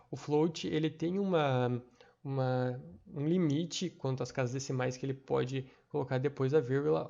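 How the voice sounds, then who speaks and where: Halting young man with a gravelly voice. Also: medium pitch, darkish tone. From Brazil. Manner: halting, many fillers and restarts. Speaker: tutor. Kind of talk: screen-recorded programming lesson. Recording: dull lo-fi mic.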